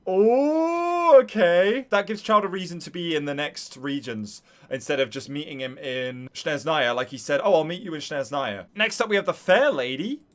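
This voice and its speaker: A man giving casual live-stream reaction commentary, excitable and thinking out loud to his viewers. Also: fairly high pitch, moderate pace, hardly any pauses. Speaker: streamer reacting to game voice lines.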